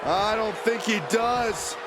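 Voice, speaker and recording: A man's voice: high voice, takes it slowly. A man playing an anxious, earnest wrestling color commentator in a video game, a bit distant in a very noisy arena. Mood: concerned.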